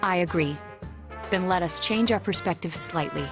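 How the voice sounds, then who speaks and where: A woman plays a composed young detective from a video game, with a low voice. The phrasing is formal and exact, every deduction laid out in a measured, analytical manner. Music plays underneath. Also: lightly acted. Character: calm, analytical young detective in a teenage group.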